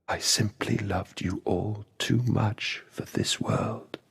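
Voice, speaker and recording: Gravelly, guilt-ridden father. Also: on the low side, steady tone, full character voice. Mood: grieving, remorseful. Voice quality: gravelly, husky. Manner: heavy, mournful, deliberate dramatic delivery. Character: guilt-ridden grieving father, tormented creator, grimly resolute. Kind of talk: dramatic video game acting. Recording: long hall reverb.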